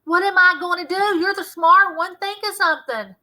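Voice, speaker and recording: A woman's voice: very high-pitched, fairly even intonation, normal speed, bright, forceful tone, voice pushed up, heavily acted, low-quality recording. A woman voicing a panicky, gloomy young boy who wails and whines dramatically in a children's novel read-aloud. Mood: scared, desperate. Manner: dramatic, wailing and whining. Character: panicky, gloomy boy, easily scared, slow-thinking friend.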